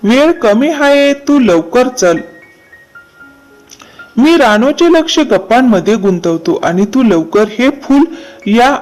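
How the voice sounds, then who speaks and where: Man voicing a slow-talking wise cartoon owl. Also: high voice, a little mellow, rough recording. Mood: instructing. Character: wise, resourceful male owl giving advice. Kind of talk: children's cartoon story dialogue.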